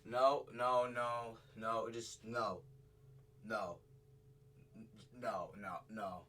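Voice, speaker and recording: A man doing live reaction commentary in casual slang, excitable and prone to repeating his exclamations. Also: fairly low pitch, very slow, a little hiss or hum. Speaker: streamer reacting to a horror video.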